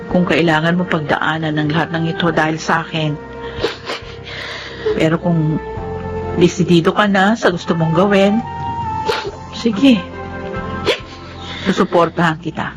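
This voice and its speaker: An actress with a Filipino accent playing a gentle, supportive aunt, soothing and earnest, in a life drama. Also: female voice, lowish voice, low-quality recording. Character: gentle, supportive aunt of a troubled young woman. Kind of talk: Tagalog life drama acting.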